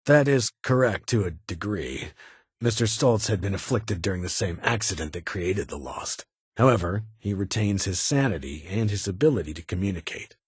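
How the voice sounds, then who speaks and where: A stern ghoul officer from a video game, with a very rough, raspy and low voice. He speaks in clipped, formal military fashion, guarded and dutiful, with dry authority. The sound is close and dry. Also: lively intonation, normal speed, no echo, exaggerated voice. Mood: measured, formal. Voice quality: raspy, gravelly. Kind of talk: video game character dialogue.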